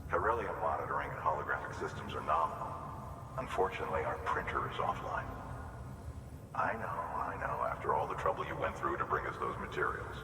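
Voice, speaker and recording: An affable game technician with an extremely low voice. He is apologetic and coaxing as he briefs. The sound is distant and very reverberant, with clear noise. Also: fairly quick. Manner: chatty, persuasive. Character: friendly, apologetic male base technician asking a favour. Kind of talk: video game radio briefing. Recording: distant, echoing hall with background noise.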